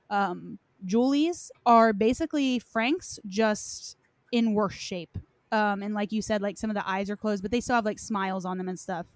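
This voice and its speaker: A woman's voice: mid-range voice, no echo. A woman in casual, informal conversation, speaking loosely and conversationally as she shares her opinions. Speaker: podcast co-discussant on game lore. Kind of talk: casual chat.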